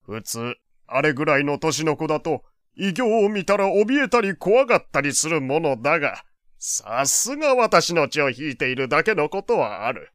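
A man's voice: on the high side, big pitch swings, normal speed, fairly bright tone, no echo, clean studio sound. An actor playing a proud, doting, wealthy old father who talks in pompous old-fashioned speech in an audio drama. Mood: boastful, pleased. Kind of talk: Japanese audio drama acting.

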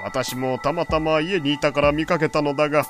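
A man's voice: a little high, steady tone, fairly quick, music in the background, close to an everyday voice. An actor playing a proud, doting, wealthy old father who talks in pompous old-fashioned speech in an audio drama. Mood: fond, proud. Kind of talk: Japanese audio drama acting.

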